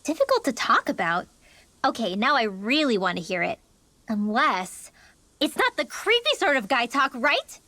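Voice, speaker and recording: Game role: nosy, spirited teen girl, high voice, needling manner. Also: huge pitch swings, normal speed, pushed and bright, dry recording, exaggerated voice. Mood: nosy, suspicious. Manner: pert, needling. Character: spirited, nosy, teasing teenage girl adventurer. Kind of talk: video game voice acting. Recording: studio voice over game music.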